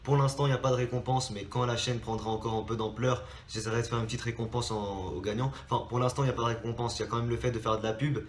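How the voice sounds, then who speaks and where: A man's voice: mid-range voice, steady tone, racing, hardly any pauses, echoey room, slight background noise. French man with a stuffy, blocked nose. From France. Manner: rambling, many hesitations and restarts. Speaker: drawing channel host. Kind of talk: casual chat to subscribers.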